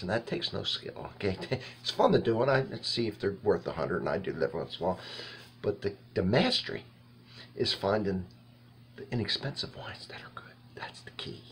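A man in his fifties giving a casual, halting tasting review to camera, rambling and thinking aloud in a small indoor room. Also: low voice, gravelly, a little hiss or hum. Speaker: wine reviewer and host.

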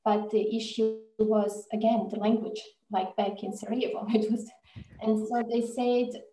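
An echoey video-call recording of a woman in her forties from Bosnia. Her voice is fairly high yet mellow. She talks as an interview guest, in a conversational storytelling way. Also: level tone, moderate pace, slight background noise. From Bosnia, with years in Mexico and Austria. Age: 40s. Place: indoor room on a video call.

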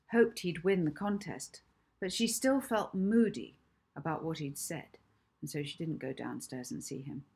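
A middle-aged woman narrates a children's book. Her voice is fairly low, with a husky, breathy edge. She reads expressively and deliberately, leaving pauses between phrases. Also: darkish tone. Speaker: author reading own book.

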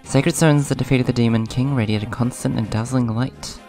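A man hosts a casual let's play in a hoarse, subdued voice at an average pitch. He moves between rambling comments and reading on-screen dialogue. Music plays clearly behind him. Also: fairly even intonation, moderate pace, darkish tone. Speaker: gaming video host. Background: video game music.

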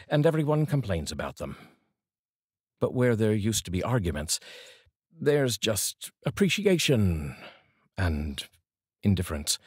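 A middle-aged man with a husky voice, speaking in measured, deliberate phrases like a poetry reader, close to a studio microphone. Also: deep voice, darkish tone, dry recording. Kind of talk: poetry reading with casual introduction. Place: small dark indoor studio. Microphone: large studio microphone, close.

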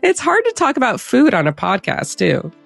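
A woman's voice: on the high side, huge pitch swings, normal speed, creaky, soft background music. A woman hosting a podcast in a casual, chatty interview style. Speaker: podcast host.

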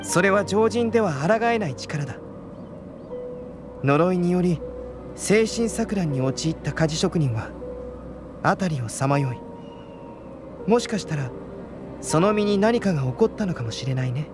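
A young, composed alchemist from a fantasy video game. The voice is high for a male and the delivery measured, scholarly and unflustered, with music playing clearly behind it. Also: animated. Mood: calm, analytical.

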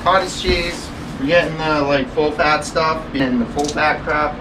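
A man's voice: fairly high pitch, steady tone, a little slow, noisy background. A young man, chatting casually and earnestly to the camera as a vlogger, rambling with lots of fillers. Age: young adult. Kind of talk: casual vlog talk to camera. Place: kitchen indoors, then outdoors on railroad tracks in woods.

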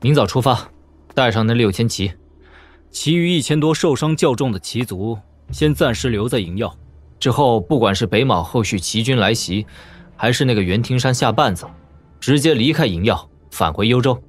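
A man's voice: mid-range voice, animated, normal speed, close to an everyday voice. Assured young prince commander acted by a man, music behind. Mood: calm, commanding. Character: young lordly prince commander, composed and shrewd. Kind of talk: Chinese martial-arts audio drama acting. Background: background music.

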